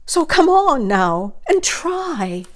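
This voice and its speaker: An earnest, big-hearted male elephant from a storybook, acted out by a woman. The voice is lifted high and calls out urgently, with every phrase given strong emphasis. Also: big pitch swings, slow, full character voice. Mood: pleading, encouraging.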